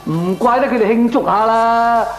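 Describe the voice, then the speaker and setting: A man's voice: very high-pitched, moderate pace, soft background music. An actor playing a chatty, good-natured man who loves food, in comic Cantonese radio drama with a Hong Kong accent. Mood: chatty, agreeable. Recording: echoey old radio drama recording.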